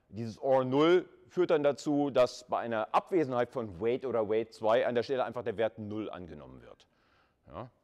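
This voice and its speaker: A man with a fairly low voice gives an informal university lecture. He talks conversationally, as if thinking along with the material, often repeats words to stress them and drops in brief checking tags. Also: a little mellow. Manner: conversational, emphatic repetition, frequent checking tags. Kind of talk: informal screen-recorded lecture with live coding and slides.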